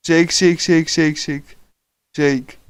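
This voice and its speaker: Male game streamer, halting casual read-aloud, smooth slightly high voice, close dry sound. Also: level tone, no echo. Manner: hesitant, halting, with small chuckles. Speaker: streamer reading a visual novel aloud.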